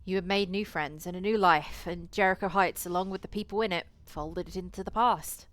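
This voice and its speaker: Low-voiced woman streaming a story game. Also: moderate pace, fairly bright tone. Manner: swears casually, playful asides to chat. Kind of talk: live stream commentary and reading visual novel aloud. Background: eerie game music.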